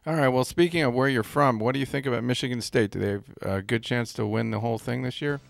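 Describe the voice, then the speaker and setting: A man hosting a sports talk show, chatting casually and firing off quick questions. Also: mid-range voice, gravelly. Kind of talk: casual radio chat.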